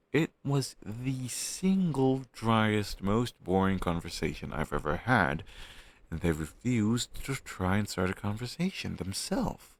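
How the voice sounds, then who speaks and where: A man acting as a playful, teasing young best friend in a casual, expressive roleplay chatting directly to the listener. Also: sits low, heavily acted. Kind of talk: roleplay audio drama, intimate casual chat with listener.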